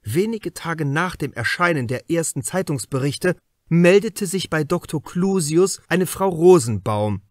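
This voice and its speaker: A man narrating a crime novel as a scripted audiobook, deliberate and with dramatic emphasis. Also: a little high, lively intonation, no echo.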